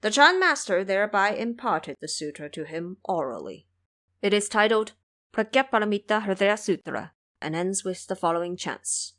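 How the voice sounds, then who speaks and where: A woman narrating a scripted audio drama in an expressive storybook manner. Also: medium pitch, normal speed.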